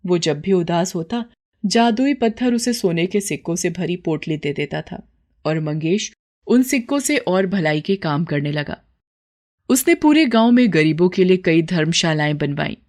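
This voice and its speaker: A woman's voice: fairly low pitch, lively intonation, moderate pace, darkish tone. A woman storyteller reading a scripted moral tale as narration.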